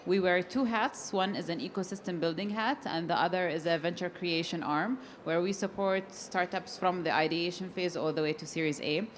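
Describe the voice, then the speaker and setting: A woman's voice: on the low side, normal speed, hardly any pauses, a little hiss or hum. Confident woman introducing herself. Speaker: entrepreneurship center staff. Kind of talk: self-introduction. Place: conference room.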